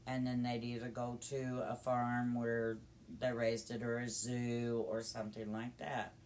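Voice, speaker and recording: Older female storyteller, folksy and plain-spoken, relaxed chatty delivery, slightly noisy amateur recording. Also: rumbling low, a little slow. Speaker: storytelling guest. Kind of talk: casual storytelling.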